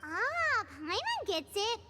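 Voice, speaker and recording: A woman playing a tiny, childlike, eager fairy companion in a video game, with a squeaky, chirpy voice. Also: very animated, slow pace, heavy background noise, full character voice. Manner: speaks of self in third person, chirpy. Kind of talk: video game character acting.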